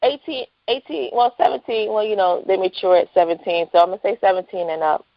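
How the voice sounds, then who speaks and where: A woman's voice: medium pitch, quick pace, no room sound. A woman chatting casually as a guest in a phone interview. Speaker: interview guest, writer and entrepreneur. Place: phone call. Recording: dull lo-fi phone line.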